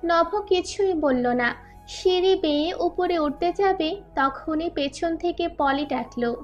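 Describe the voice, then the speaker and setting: High-pitched woman narrating a story. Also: takes it slowly, dull audio. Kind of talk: scripted dramatic story narration. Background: faint background music.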